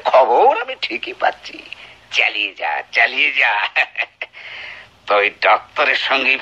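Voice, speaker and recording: A contemptuous, bullying husband in a radio play. His voice is very forceful and sharp, swinging widely between drawled sneers and snapped commands. The sound is slightly muffled and lo-fi. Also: medium pitch, sing-song, a little slow, slightly rough, heavily acted. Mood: sneering. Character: cruel, contemptuous husband with a violent temper. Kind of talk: Bengali radio drama acting. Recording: dull lo-fi radio drama recording.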